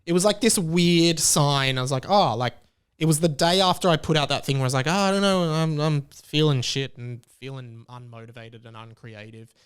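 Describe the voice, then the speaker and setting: A man's voice: a little high, normal speed, smooth. A young Australian man hosting his own podcast, chatting casually with a chatty, self-deprecating manner. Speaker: podcast host. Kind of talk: casual solo podcast chat.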